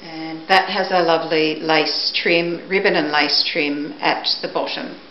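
A middle-aged woman presenting items for auction in a measured, matter-of-fact way, speaking to a camera a few steps away indoors. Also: sits low, fairly even intonation. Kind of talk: product showcase, descriptive talk. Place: indoors, living or dining room at home. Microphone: camera microphone a few steps away. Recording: echoey room, dull muffled sound, background hiss.

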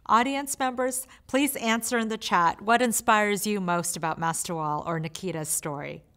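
A woman's voice: medium pitch, fairly even intonation, normal speed, a bit bright. A woman hosting a streamed event on a studio stage with a handheld mic, upbeat and speaking to the audience. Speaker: event host.

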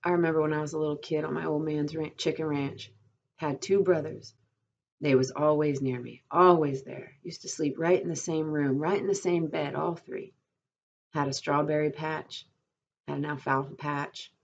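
A woman's voice: lowish voice, moderate pace, darkish tone, highs cut off, slight background noise, close to an everyday voice. A woman voicing a lonely, bitter, needling male stable hand in a story reading, using rustic dialect with a pressing, brooding delivery. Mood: wistful.

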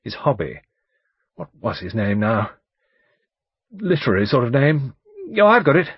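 A man's voice: mid-range voice, big pitch swings, measured pace, husky, no echo, muffled recording, pitched above the performer's own voice, full character voice. A man voicing a bluff, urbane and overbearing English barrister in an audiobook, breezy, emphatic and chatty. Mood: breezy, animated. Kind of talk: audiobook character dialogue.

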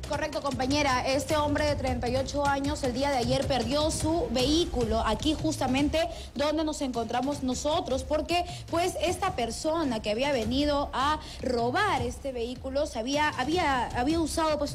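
A young woman with a Peruvian accent reporting live from a city street into a handheld mic, brisk and with restarts. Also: sits high, music in the background. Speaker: field reporter.

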